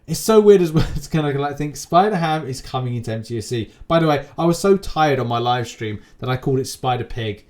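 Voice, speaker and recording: A man's voice: mid-range voice, lively intonation, brisk, hardly any pauses. A man hosting a gaming channel, giving casual, unscripted news commentary in a rambling, repetitive, informal way.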